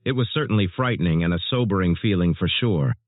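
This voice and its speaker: A man's voice: deep voice, lively intonation, quick pace, no room sound. A man playing a sober, earnest storm chaser giving scripted interview answers. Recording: muffled narrowband phone-like audio.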